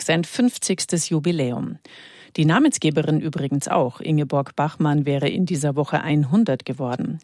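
A woman's voice: low-pitched, darkish tone, no room sound, clean studio sound. A woman presenting a news magazine with scripted links. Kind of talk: scripted moderation.

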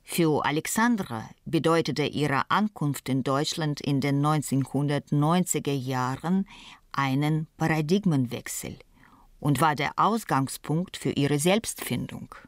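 A woman reading scripted feature narration as a reporter, explaining things in a measured way. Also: sits low, dry recording, studio quality.

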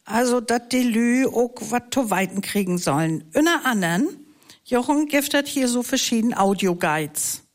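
A woman's voice: a little high, expressive, normal speed. A woman hosting a talk show, chatting casually with guests and prompting them to tell their stories. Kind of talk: casual interview, mixing in Low German.

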